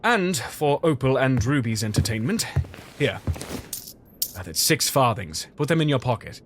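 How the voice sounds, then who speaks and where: An actor playing a caring, exasperated Victorian doctor in an audio drama, fussy, earnest and gently scolding. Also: male voice, mid-range voice, very animated, normal speed, slight background noise, natural voice. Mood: brisk, fond.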